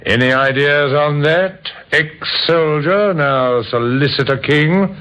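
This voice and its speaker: An actor playing a dryly sardonic police inspector in an old radio mystery, questioning deliberately with hesitations and pointed insinuation. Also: male voice, on the high side, a bit bright. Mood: sardonic, pressing. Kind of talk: old-time radio drama acting. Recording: noisy, dull vintage radio recording.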